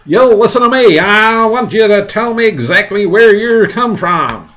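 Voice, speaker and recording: A man voicing an excitable, loud, commanding police chief in a storybook, shouting and blustering. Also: high voice, a little slow, pitched above the performer's own voice.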